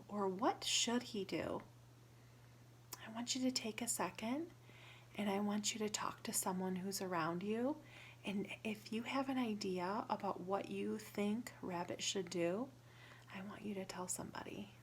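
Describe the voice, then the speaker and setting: A woman reading a picture book aloud to children in a teacherly way, pausing to ask questions and explain words simply. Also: medium pitch, a little hiss or hum.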